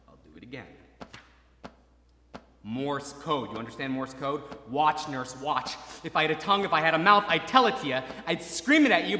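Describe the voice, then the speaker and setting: An actor playing a gravely wounded young soldier in a stage drama, halting, repetitive and pleading, moving between murmurs and cries. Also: male voice, sits high, sharp tone, heavily acted. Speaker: actor in a stage adaptation of a radio play. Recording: roomy hall, distant mic, faint noise.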